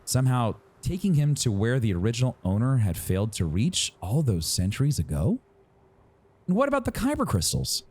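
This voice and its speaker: A man reading a story aloud as a dramatic narrator, with suspenseful, deliberate phrasing and pauses. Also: medium pitch, wildly swooping pitch, a little mellow, husky. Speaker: narrator reading a novel excerpt. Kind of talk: dramatic audiobook-style narration.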